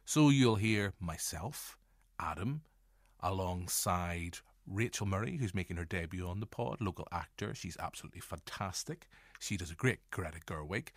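A man with a Northern Irish accent hosting a podcast, introducing it in playful, deliberate asides to the listener. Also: on the low side, fairly bright tone, no echo, studio quality. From Northern Ireland. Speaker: podcast host. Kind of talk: scripted-sounding podcast introduction.

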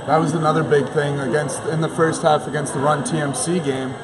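A man's voice: on the high side, little pitch movement, fairly quick. A young man giving postgame basketball commentary as a panelist on a sports show. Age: young adult. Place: large indoor basketball gym. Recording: noisy gym ambience behind voice.

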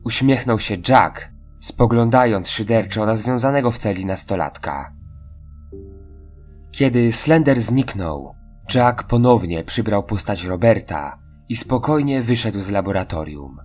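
A man's voice: lowish voice, lively intonation, a little slow, a little mellow, soft background music. A man reading scripted narration for a horror audio drama.

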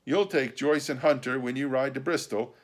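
A cautious, strong-minded doctor, as a male narrator puts him on, lifted well above the narrator's usual pitch and delivered loud and emphatic. He lays down the law with firm warning. An audiobook character voice. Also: moderate pace, natural voice. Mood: stern warning. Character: stern, cautious gentleman doctor, middle-aged man.

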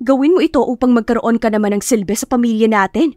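A woman's voice: on the high side, fast talker, dark tone, no echo, soft background music, natural voice. Middle-aged mother voiced by a woman, cold, persuasive, reproachful, audiobook drama with dry sound. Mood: guilt-tripping. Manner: firm, reproachful, pressing. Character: stern, guilt-tripping middle-aged mother, coldly persuasive. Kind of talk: audiobook character acting.

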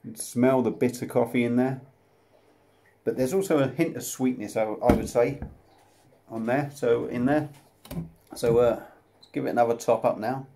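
A man's voice: mid-range voice, normal speed, long pauses, warm tone, slight background noise. A man, casually reviewing a beer to camera at home, chatty and self-deprecating. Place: small room at home, indoors. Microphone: camera close up at desk level.

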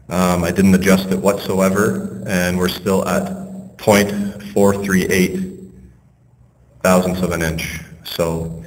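A man with a somewhat low voice talks through a hands-on workshop demonstration in a relaxed, plain-spoken way, dropping the odd um. The camera mic picks up a long reverb tail and a faint hiss of background noise. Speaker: HVAC technician and channel host.

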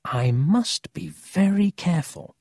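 An earnest, watchful country boy in a storybook, acted out by an adult reader. He speaks in a raised, lively pitch with a careful, serious manner and bristles easily. The sound is close and dry. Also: slow, creaky, no echo, full character voice. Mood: wary, serious. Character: earnest, cautious country boy on a thief's trail.

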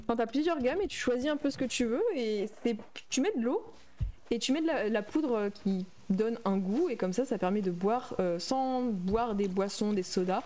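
A woman's voice: fairly high pitch, normal speed, darkish tone. A young adult woman streaming a game, chatting casually and reading and answering her viewers' messages aloud. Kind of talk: casual livestream chat while playing a game.

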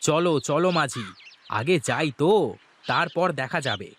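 A man voicing a bantering, nosy villager who rides the ferry in an animated folk tale. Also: on the high side, normal speed, background noise, lightly acted. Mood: haggling. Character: teasing male villager, boat passenger haggling over fares.

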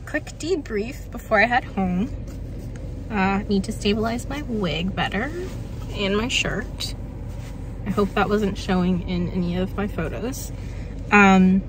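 A young woman with a hoarse voice from a cold, chatting tiredly to her phone in a parked car after a gig. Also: medium pitch, noisy background. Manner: chatty, tired, quick asides with small laughs. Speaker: vlogger and working actor. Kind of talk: casual vlog chat to camera. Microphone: phone held at arm's length.

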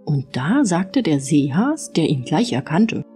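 A woman narrating an audiobook fairy tale in an expressive storytelling style. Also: deep voice, unhurried, soft background music.

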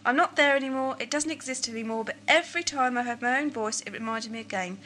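A woman playing a sceptical, defiant young companion, sharp and argumentative, in an amateur audio drama. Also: fairly high pitch, fast. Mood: bitter. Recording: slightly noisy 1980s home-made audio drama.

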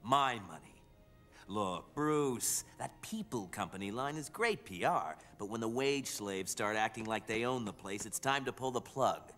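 An actor playing a callous, glad-handing company boss in an animated series, slick and self-important. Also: male voice, medium pitch, measured pace, faint music behind. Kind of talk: animated series drama acting.

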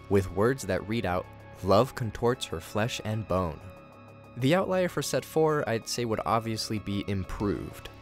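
Low-voiced man doing casual commentary over music. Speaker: host of a horror series commentary channel.

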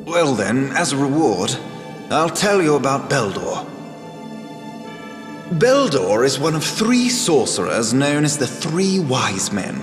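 A man's voice: medium pitch, sing-song, slow, reverberant, in a large hall, music in the background. A man voicing a cheeky, knowing talking frog, a cursed man, in a video game. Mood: sly.

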